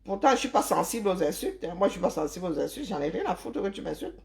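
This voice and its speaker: A woman's voice: lowish voice, very animated, fast talker, creaky. Emphatic woman lecturing her viewers. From Cameroon. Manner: emphatic, lecturing, full of rhetorical questions and "hein". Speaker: live-stream host. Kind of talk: opinionated live-stream monologue.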